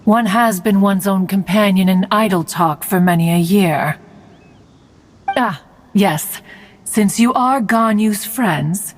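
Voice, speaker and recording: A woman's voice: mid-range voice, takes it slowly, slight background noise, natural voice. A woman voicing an ancient female crane spirit who speaks in an archaic, formal way, calling herself "one". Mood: teasing. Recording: game audio with background music.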